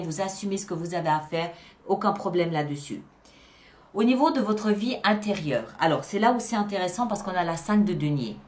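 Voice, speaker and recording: A woman with a medium-pitched voice gives a spoken tarot reading to her audience online. She explains each card emphatically, restating phrases to underline her meaning. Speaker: tarot reader and channel host.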